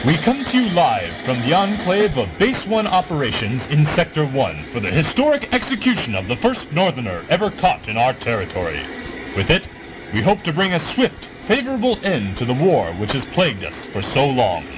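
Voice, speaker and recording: A man's voice: a little high, huge pitch swings, moderate pace, noisy background. A man playing a solemn broadcast announcer in a science fiction audio drama, proclaiming formally. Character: solemn male broadcast announcer of a state event.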